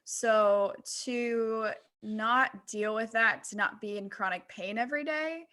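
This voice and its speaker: A woman's voice: a little high, takes it slowly, pushed and bright. A woman co-hosting a casual podcast, candid and breezy with plenty of informal slang. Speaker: podcast co-host. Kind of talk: casual panel podcast chat.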